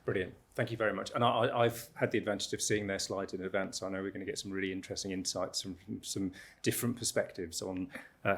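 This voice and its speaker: Guy moderating a panel, low voice, lots of ums and false starts. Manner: hesitant, many fillers and restarts. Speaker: panel facilitator.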